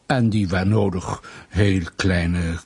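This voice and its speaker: An actor playing a smug, menacing Soviet state interrogator in a radio drama, mock-polite, needling and deliberate. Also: male voice, low voice, steady tone, darkish tone, breathy edge, exaggerated voice. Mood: quietly threatening.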